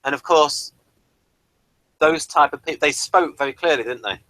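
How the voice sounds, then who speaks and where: A man's voice: on the high side, moderate pace, sharp tone, poor audio. A man hosting a review discussion, talking in a hesitant, digressive way as he explains things. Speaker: host of a TV review show.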